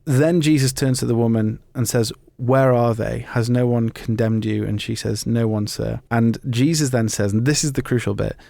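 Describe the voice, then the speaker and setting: A man's voice: mid-range voice, fairly even intonation, moderate pace, creaky, few pauses, mellow, no echo, studio quality. A man hosting a podcast, talking conversationally about faith in an earnest, explanatory way. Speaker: podcast host. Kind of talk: conversational talk on faith and football.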